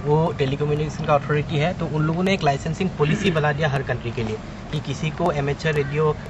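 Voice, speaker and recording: Middle aged indian guy explaining radio stuff casually, bit high voice, noisy street behind. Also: brisk. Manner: explains with everyday examples. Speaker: ham radio volunteer and trainer. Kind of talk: informal explanatory talk mixing in English terms. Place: outdoors at an urban portable radio station. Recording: muffled outdoor recording, clear traffic noise.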